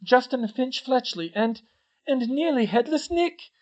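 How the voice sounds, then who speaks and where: A frantic teenage schoolgirl, put on by an adult audiobook reader. Her voice is high and animated. She speaks with urgency, like someone bringing terrible news. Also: a little slow, muffled recording. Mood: alarmed. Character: frantic teenage girl student bearing bad news.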